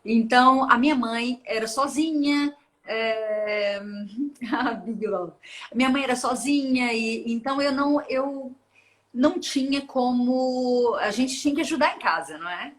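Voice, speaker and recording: A woman in her forties speaks with a Minas Gerais Brazilian accent. She is a guest in a casual interview, and she talks in loose runs with restarts and frequent laughter. Her voice sits a little high. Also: a little slow, fairly bright tone, poor audio. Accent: Minas Gerais Brazilian Portuguese. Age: 40s. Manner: chatty, laughs often, rambling with restarts. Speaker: guest, open-water ultramarathon swimmer.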